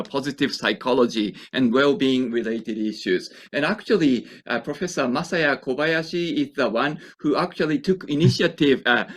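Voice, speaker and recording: A man's voice: medium pitch, fairly bright tone. A man with a Japanese accent moderating an academic seminar off the cuff, polite, wordy and hesitant. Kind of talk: impromptu moderating.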